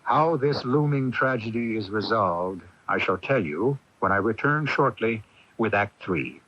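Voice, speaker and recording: An actor playing a newspaper publisher, a steady old friend who tells the story in a radio drama. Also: male voice, lowish voice, a little slow. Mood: grave storytelling. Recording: hissy, dull AM radio tape.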